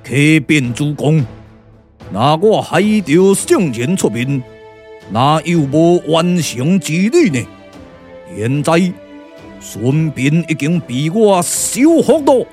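A man's voice: on the high side, sing-song, a little mellow, faint music behind. A man playing a proud, hot-tempered old immortal sage in a Taiwanese puppet radio drama, declaiming theatrically with a Taiwanese accent. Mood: boastful. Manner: theatrical, boastful, drawn-out declamation.

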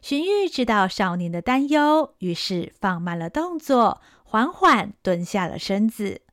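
A woman narrating an audio drama in a smooth, expressive storytelling manner. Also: medium pitch, unhurried, no echo, studio quality.

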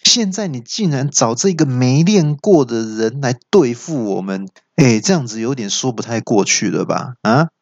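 A man's voice: medium pitch, lively intonation, moderate pace, few pauses, no echo, highs cut off. A man voicing a boastful, scheming male mountain demon who draws out his words in a wheedling way. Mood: mocking.

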